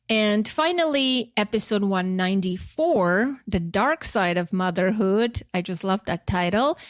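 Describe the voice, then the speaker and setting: A woman's voice: mid-range voice, no room sound. Armenian-accented woman hosting, close dry sound. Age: 40s. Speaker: podcast host. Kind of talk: podcast introduction and interview talk.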